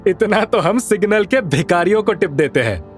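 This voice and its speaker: A man's voice: high-pitched, expressive, soft background music, pitched above the performer's own voice. A man voicing a mocking, bullying loan shark thug in an audio drama, jeering and taunting as he speaks. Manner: jeering, taunting, drawn-out threats. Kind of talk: Hindi audio drama acting.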